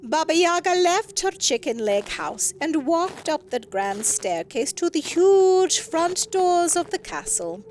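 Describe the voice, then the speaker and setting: Slow, animated woman storyteller. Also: a little high, slight background noise. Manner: expressive storytelling with deliberate emphasis. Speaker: story narrator. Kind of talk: scripted fairy tale narration.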